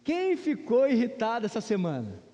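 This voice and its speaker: Man, Brazilian accent, soft mellow tone, conversational Bible teaching, question tags, long hall reverb. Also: very high voice, huge pitch swings, normal speed. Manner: informal, asks the audience questions and checks in with rhetorical tags. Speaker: Sunday school teacher at a church. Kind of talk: conversational Bible lesson to a congregation. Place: large church room, speaking to a congregation.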